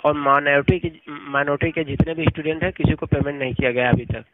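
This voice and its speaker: A man's voice: a little high, steady tone, quick pace. A man with an Indian accent working as a helpline agent, answering politely and formally on a recorded phone call. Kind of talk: customer service phone call. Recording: tinny phone line with clear noise.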